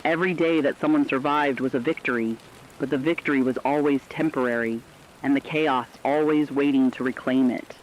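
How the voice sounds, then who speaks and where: A man with a medium-pitched voice narrating a scripted apocalyptic story in a solemn, measured manner. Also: steady tone, dull audio, a little hiss or hum.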